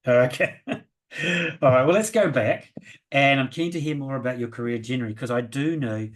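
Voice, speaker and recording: A man hosts a podcast interview. He talks in a friendly, conversational way at a middling pitch. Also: normal speed. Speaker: podcast host. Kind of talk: interview hosting.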